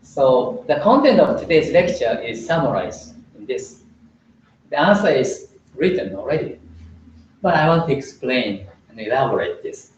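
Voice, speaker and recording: A man from Japan, lecturing a small group in a hesitant, explaining manner with many filler pauses. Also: mid-range voice, very animated. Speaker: Buddhist lecturer. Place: indoor meeting room. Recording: roomy, slightly dull, faint room hiss.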